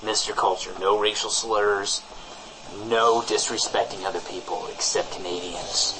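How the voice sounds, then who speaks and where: A man's voice: fairly low pitch, fairly even intonation, unhurried, poor audio. A man co-hosting a comedy show with rambling, mock-earnest improvised banter.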